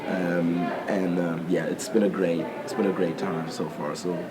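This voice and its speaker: A man's voice: low-pitched, little pitch movement, normal speed, over a noisy crowd. A man in his twenties from Germany answering interview questions, hesitant with many fillers and restarts. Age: 20s. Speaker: student athlete interviewee. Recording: noisy interview recording.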